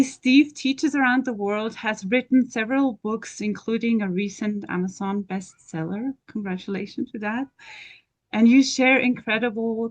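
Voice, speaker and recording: A woman from Switzerland with a Swiss accent, speaking English as a second language, introducing a guest as interview host in earnest, deliberate phrases. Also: medium pitch. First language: not English.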